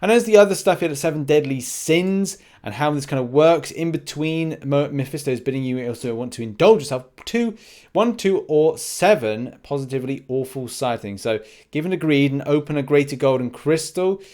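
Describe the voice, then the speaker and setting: A man with a slightly high-pitched voice hosts a gaming update. He speaks casually and off the cuff, rambling a little as he thinks aloud. His commentary often breaks into short, clipped asides. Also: lively intonation, normal speed, a little mellow. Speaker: gaming video host. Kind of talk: casual unscripted game news commentary.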